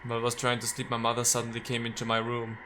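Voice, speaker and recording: A male let's player with a bright, forceful voice at an average pitch. He reads visual novel text aloud and drops in playful, wry asides. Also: completely flat delivery, very fast, slight background noise.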